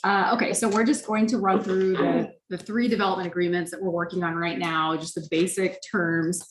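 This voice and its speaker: A soft-voiced woman with a Midwestern American accent giving a city staff update on development agreements, in a conversational, apologetic way. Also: fairly low pitch, steady tone, fairly quick, slight background noise. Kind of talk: reading a staff report.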